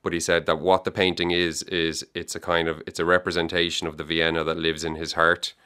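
A man's voice: low voice, level tone, a little fast, sharp tone, smooth. An Irish man, a young adult author, answering interview questions thoughtfully, with many restarts and yeahs. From Ireland. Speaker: author guest.

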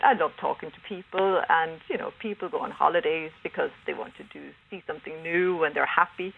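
Gravelly middle-aged woman on a tinny phone. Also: fairly low pitch. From Denmark, grew up in Sweden. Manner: playful, teasing. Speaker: caller. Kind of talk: phone-in chat.

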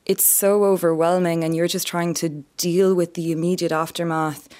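A young adult woman from France, an author calmly explaining her experiences in an interview. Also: fairly low pitch. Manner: earnest, measured, explanatory. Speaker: author and survivor, interview guest. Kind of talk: reflective interview answers.

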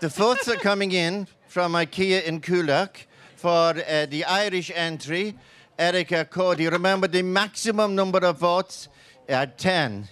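A man's voice: sits high, a little slow, fairly bright tone. A man putting on a mock Swedish accent as a playful contest vote announcer. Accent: put-on Swedish. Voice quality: jokey announcer delivery.

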